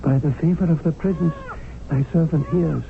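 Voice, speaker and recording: An actor playing a dignified Indian hunting guide, formal and privately scornful, speaking in archaic measured phrases in a radio drama. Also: male voice, a little high, steady tone, vocal fry, noisy background. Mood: deferential. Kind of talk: 1970s radio drama narration and acting. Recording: narrow-band old radio, music behind.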